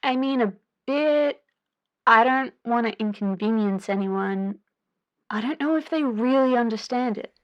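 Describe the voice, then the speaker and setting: A woman's voice: a little high, a little slow, dull audio, lightly acted. A woman playing an anxious, earnest young caller to a radio advice show in an audio drama, chatty and hesitant. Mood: worried, frustrated. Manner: hesitant, chatty, with filler words.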